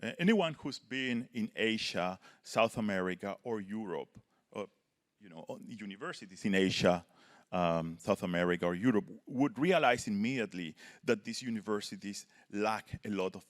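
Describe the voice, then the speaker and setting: A man from Chile, giving earnest panel remarks as a professor and often ending points with a quick "right?". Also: lowish voice. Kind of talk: panel talk, prepared remarks.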